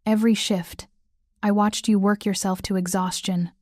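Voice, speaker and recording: A woman voicing a calm, possessive male mafia boss in an audiobook, speaking low and measured. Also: no echo, studio quality, natural voice.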